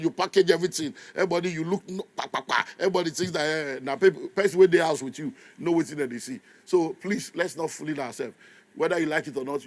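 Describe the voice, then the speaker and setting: A Nigerian man in his fifties preaching with animated energy. His voice sits a little high for a man, and he slips into Pidgin asides and laughs easily between his points. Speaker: pastor. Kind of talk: sermon.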